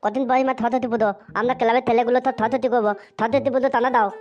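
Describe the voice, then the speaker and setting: A woman voicing a high, bratty little cartoon boy. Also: steady tone, very fast, a little mellow, close to an everyday voice. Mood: demanding. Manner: whining, pleading, insolent. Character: bratty foul-mouthed little cartoon boy, whiny and demanding. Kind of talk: comedy cartoon dubbing. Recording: muffled lo-fi audio with music.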